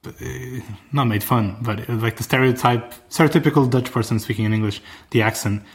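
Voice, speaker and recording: A man's voice: medium pitch, steady tone, a little fast. Give me a young man whose first language is Portuguese, chatting enthusiastically and rambling. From Brazil or Portugal. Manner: enthusiastic, rambling, frequent restarts. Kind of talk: casual chat.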